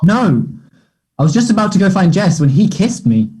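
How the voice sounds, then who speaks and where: Anxious young man, husky and soft-toned an actor reading. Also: high-pitched, big pitch swings, exaggerated voice, poor audio. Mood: defensive, agitated. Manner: hesitant, halting delivery. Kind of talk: play table read.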